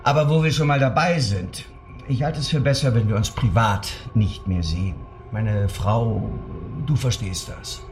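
A man's voice: fairly low pitch, unhurried, slight background noise, lightly acted. An actor playing a married male boss who coolly ends an affair, in a radio drama. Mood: dismissive. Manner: placating, cool, dismissive. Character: married male boss, smooth, dismissive ex-lover.

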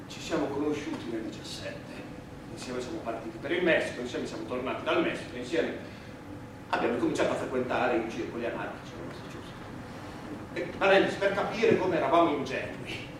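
An actor plays an earnest Italian immigrant man, an anarchist worker testifying in a stage drama. His speech is halting and plain, with an Italian accent and a medium pitch. The sound is heavily reverberant and picked up from a distance, with clear noise around it. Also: lively intonation, lightly acted. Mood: wry, emphatic. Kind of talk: theatrical monologue, courtroom testimony. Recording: very echoey, far-off mic, noisy stage recording.

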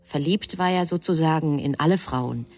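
A woman playing a composed, superior angel who narrates a divine plan in a radio play, with measured, wryly formal literary storytelling. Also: low-pitched, darkish tone, faint music behind. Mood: calm narration.

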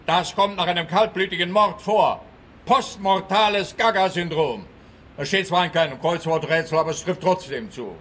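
Over clear background noise comes a blustering policeman, with a raised male voice. He is officious and dense, handing out threats like regulations in a comic radio play. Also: high voice, moderate pace, a bit bright.

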